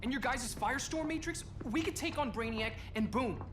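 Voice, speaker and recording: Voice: mid-range voice, normal speed, over music, natural voice. An actor playing a wary, wry teenage boy superhero in a video game cutscene. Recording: very noisy background ambience.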